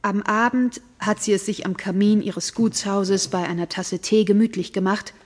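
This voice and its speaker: A woman narrating a radio play in a calm storytelling manner. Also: medium pitch, moderate pace.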